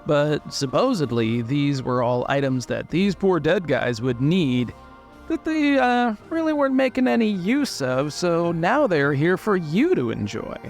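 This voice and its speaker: A man voicing an eager, wheedling shopkeeper of curios in an improvised tabletop game, full of hesitant, flattering sales patter. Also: fairly high pitch, a little slow, faint music behind. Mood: smooth sales pitch.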